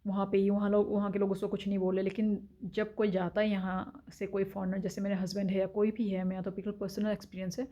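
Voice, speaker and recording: A woman from India talks frankly to camera, with a relaxed, opinionated vlog manner and frequent filler sounds. Her voice is mellow and unforced, sitting at a middling pitch. The recording is a little dull and lo-fi. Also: fast, hardly any pauses. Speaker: vlogger. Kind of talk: casual talking-head vlog. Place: home in Denmark.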